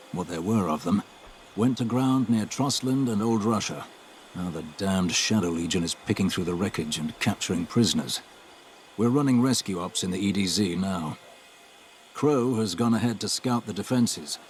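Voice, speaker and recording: An actor playing a seasoned British military scout in a game cutscene, polite, dry and understated. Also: male voice, on the low side, a little mellow, a little hiss or hum, lightly acted. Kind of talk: video game cutscene dialogue, mission briefing. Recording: music playing behind the voice.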